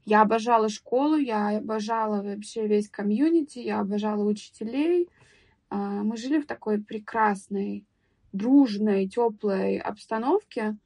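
A young woman guest on a podcast, recalling her school years in a thoughtful, hesitant way with many pauses and restarts. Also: medium pitch, a little mellow, dry recording. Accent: Russian speaker living in England. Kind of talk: casual podcast interview.

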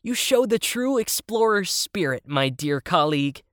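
Storybook child geographer acted by an adult narrator: self-important, emphatic, raised pitch, lively swings. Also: moderate pace, no room sound, clean studio sound, close to an everyday voice. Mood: eager, pompous. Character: earnest, bossy child explorer playing geographer.

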